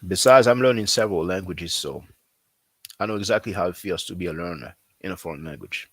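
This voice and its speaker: A man who is not a native English speaker, talking casually as a language teacher, repeating himself often and filling pauses with "you know.". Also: deep voice, a bit bright, slightly rough, no room sound. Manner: repetitive, conversational, frequent fillers. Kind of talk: casual promotional talk.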